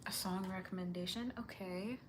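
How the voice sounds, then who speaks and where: A young woman livestreaming while she animates, chatting casually and answering viewer comments in a soft, sweet-toned voice. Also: medium pitch, fairly even intonation, normal speed, noisy background.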